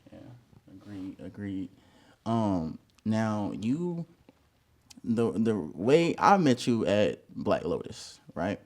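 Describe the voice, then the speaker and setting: A man's voice: on the low side, very animated, slow pace, darkish tone. A man hosting a casual dating-show follow-up interview, asking questions in a laid-back, slangy way. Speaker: host.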